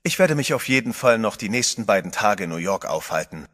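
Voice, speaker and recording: A man's voice: lowish voice, very animated, fairly quick, a bit bright. An actor playing a composed adventurer who narrates his own story in a horror radio play. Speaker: first-person narrator. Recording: music bed under the voice.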